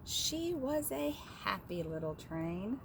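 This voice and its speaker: A woman reading a children's story aloud as an expressive storyteller. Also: mid-range voice, takes it slowly, gravelly, background noise.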